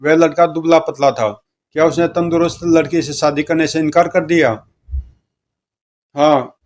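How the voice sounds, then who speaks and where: A man's voice: a little high, steady tone, normal speed, a little hiss or hum. A man reading comic strips aloud as a story narrator, speaking the dialogue of each character. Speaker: comic book reader, narrator.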